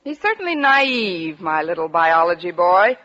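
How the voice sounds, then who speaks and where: An actress playing a wry, lovelorn high school English teacher with dry delivery in a 1940s radio comedy. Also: female voice, sing-song, moderate pace, a bit bright. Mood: wistful. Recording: dull 1940s radio recording, studio audience.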